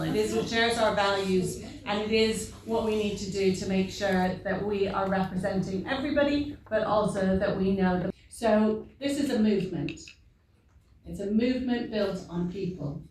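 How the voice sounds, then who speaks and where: A woman's voice: medium pitch, a little mellow. A woman giving an emphatic rallying speech to a room, repeating her key words. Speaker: speaker at political event. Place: large hall. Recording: echoey hall from far off.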